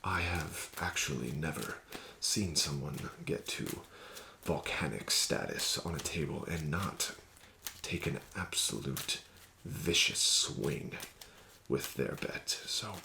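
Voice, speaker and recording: Male ASMR roleplay dealer: low, slow, soft and coaxing, lively rise and fall. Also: noisy background. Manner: soft-spoken, often whispering; smooth, flattering, gently coaxing. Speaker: ASMR roleplay host playing a casino dealer.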